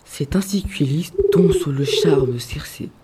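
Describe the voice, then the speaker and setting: A teenage boy narrates a scripted audio story in a deep-set voice. Clear music plays behind the reading. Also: unhurried.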